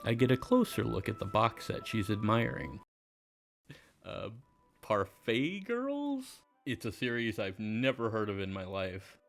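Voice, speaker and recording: A man playing an awkward, mild high school boy, narrating in first person with a hesitant, understated delivery. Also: huge pitch swings, measured pace, vocal fry, soft background music, heavily acted. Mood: dry, understated. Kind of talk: first-person visual novel narration and dialogue.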